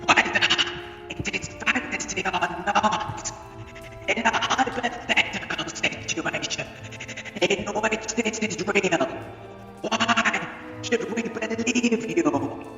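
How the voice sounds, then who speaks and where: A man voicing a haughty, suspicious non-human court judge in a fan audio drama, speaking in a pompous, halting, formal way. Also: high-pitched, bright, forceful tone, exaggerated voice. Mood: scornful, doubting. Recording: echoey hall, distant, faint music behind.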